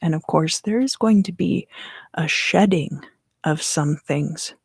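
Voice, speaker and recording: Woman, hushed husky ASMR tarot reader. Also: low voice, dry recording, studio quality. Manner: soft-spoken, often dropping into whispers; unhurried, explanatory, with short broken phrases. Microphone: close microphone.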